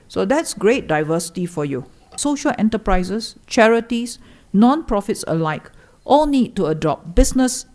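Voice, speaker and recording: A woman with a slightly low voice opens a forum with a formal welcome address. She speaks in measured, declamatory phrases, stacking items into lists. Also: a little mellow. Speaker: forum host.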